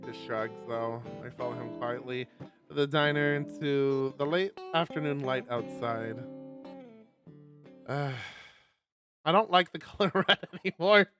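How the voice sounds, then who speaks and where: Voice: medium pitch, measured pace, fairly bright tone, soft background music. A streamer reading a visual novel aloud on a live stream, narrating and breaking off for exasperated, sweary commentary.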